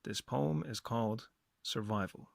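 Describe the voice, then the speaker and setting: A man's voice: fairly low pitch, a little slow, vocal fry, dry recording, clean studio sound. A man hosting a podcast, talking casually as he gives recommendations and introductions. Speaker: podcast host.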